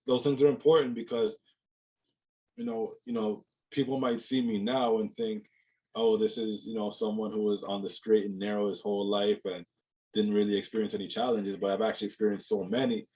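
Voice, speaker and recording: Low-voiced young man on a video call. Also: fairly quick, a little mellow, poor audio. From Jamaica. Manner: reflective, many "you know" fillers and repeated words. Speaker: guest, mental health speaker. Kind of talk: casual interview conversation. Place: home room, indoors.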